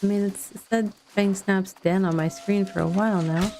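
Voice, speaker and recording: A woman giving casual let's play commentary and chatting with viewers in a rambling, halting way. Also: mid-range voice, fairly even intonation, dark tone, a little hiss or hum. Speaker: game streamer.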